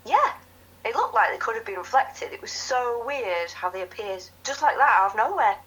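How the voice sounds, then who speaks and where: A woman's voice: fairly high pitch, very animated, moderate pace, fairly bright tone. An actress playing an earnest, slightly hesitant woman calling a radio phone-in over a telephone line. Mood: earnest, awed. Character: earnest woman phoning in her ghost sighting.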